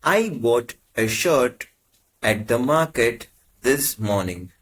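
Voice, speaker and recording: A man teaching as a language tutor, reading short prompts in slow, measured phrases. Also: medium pitch, expressive, fairly bright tone, husky. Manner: measured, carefully spaced phrases. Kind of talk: slow, deliberate lesson prompts.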